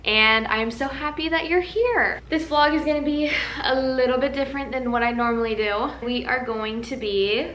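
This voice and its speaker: A young woman vlogging in a casual, chatty way, tired and a little raspy from a nap, in a large empty house. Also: sits high, moderate pace, roomy sound, over music. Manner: chatty, rambling, earnest. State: tired, slightly raspy from just waking up. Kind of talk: casual chat to camera. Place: large empty house used as a wedding venue.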